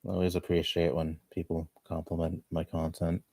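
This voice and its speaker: A man in his twenties chatting casually on a livestream at home, rambling and laughing softly between thoughts. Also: sits low, monotone, fairly quick, breathy edge, no room sound. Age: 20s. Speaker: livestreamer reading chat. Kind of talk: casual chat.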